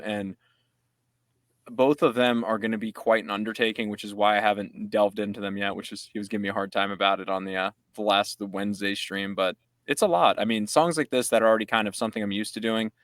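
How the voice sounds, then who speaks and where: A man's voice: lowish voice, steady tone, fast talker, a bit bright, no echo, studio quality. A man, a musician guest, chatting casually and rambling upbeat about making a song. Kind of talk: casual chat.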